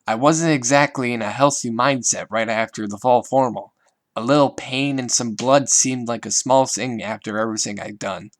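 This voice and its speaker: Brief: man voicing a reformed, guilt-ridden teen girl, hesitant and soft-spoken, storybook reading. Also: medium pitch, moderate pace, dry recording, natural voice. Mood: defensive. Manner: hesitant, quiet and defensive.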